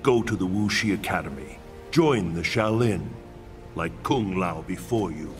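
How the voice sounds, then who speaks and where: A man's voice: deep voice, animated, measured pace, music in the background. A man playing a solemn, wise thunder god mentor in a video game, speaking with formal, grave diction. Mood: grave encouragement. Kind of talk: dramatic video game cutscene acting.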